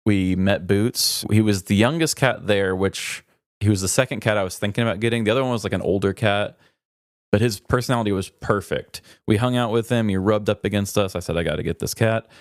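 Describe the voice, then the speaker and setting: A low-voiced podcast co-host in a dry recording. The delivery is informal and conversational, suited to casual personal storytelling, with the odd swear word. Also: a little fast, dry recording.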